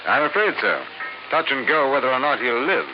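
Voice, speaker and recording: An actor playing a grave, formal police inspector in a vintage radio drama, speaking in a measured manner. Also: male voice, medium pitch, very animated, over loud music, lightly acted. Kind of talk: 1950s radio drama acting. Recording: muffled, noisy old radio recording.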